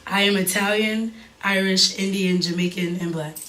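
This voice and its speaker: A young woman with a New York accent, a singer and dancer being interviewed, talking in a relaxed, rambling way with casual slang. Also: mid-range voice, moderate pace, echoey room, rough recording. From Harlem, New York.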